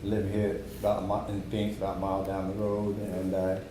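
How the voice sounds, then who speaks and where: A man in his sixties from Idaho, speaking in a plainspoken, halting way as a focus group participant. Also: low voice, monotone. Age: 60s. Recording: echoey hollow room with background noise.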